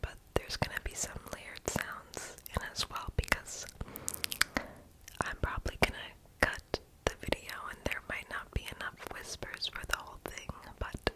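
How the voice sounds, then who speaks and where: A young woman whispering a casual, rambling ASMR voiceover in a breathy, unvoiced voice, with soft mouth sounds. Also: normal speed, low-quality recording. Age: young adult. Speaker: ASMR video creator and host.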